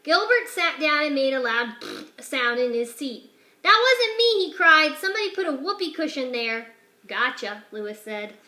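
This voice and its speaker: Female narrator for a kids' picture-book read-aloud, high and bright, lively character voices, roomy echo. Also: moderate pace. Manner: expressive, shifts pitch and loudness for each character. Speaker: teacher reading aloud.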